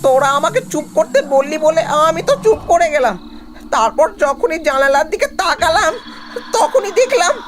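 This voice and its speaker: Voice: extremely high pitch, sing-song, normal speed, a bit bright, a little hiss or hum, exaggerated voice. An actor voicing a timid young man in a horror cartoon, terrified of ghosts, stammering and whimpering. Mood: anxious, defensive. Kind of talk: animated horror cartoon dialogue.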